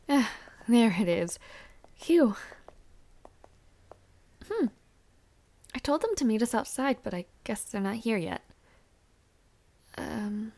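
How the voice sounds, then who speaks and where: A chatty young female university student played by a woman in an audio roleplay. Her medium-pitched voice often drops into a creaky fry. She talks in a casual, slightly hesitant way, like a friendly, over-prepared dormmate. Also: darkish tone. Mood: casual, mildly puzzled. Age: young adult. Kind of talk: roleplay audio drama, casual one-sided conversation.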